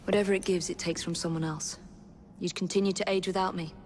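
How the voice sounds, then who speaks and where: Low-voiced composed young English woman assassin played by an actress. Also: flat delivery, fast talker, a little mellow, a little hiss or hum, natural voice. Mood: composed, wry. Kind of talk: video game cutscene acting.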